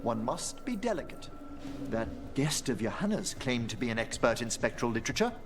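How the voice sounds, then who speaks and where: An actor voicing a refined, scholarly older gentleman necromancer in a video game, with precise, theatrical manners. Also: medium pitch, very animated, moderate pace, lightly acted. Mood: intrigued, courtly. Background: game music.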